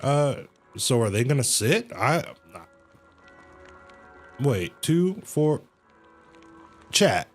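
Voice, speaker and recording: A man's voice: medium pitch, sing-song, very slow, pauses often. A man livestreaming a game, casually reading dialogue aloud and reacting in slang, sometimes stumbling and correcting himself. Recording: game music behind the voice. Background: video game music.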